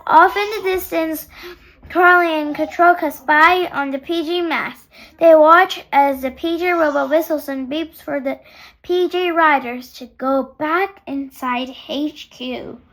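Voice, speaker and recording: A young girl reading a children's story aloud in a halting, sing-song way, putting questions to young listeners. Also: sits high, a bit bright, low-quality recording.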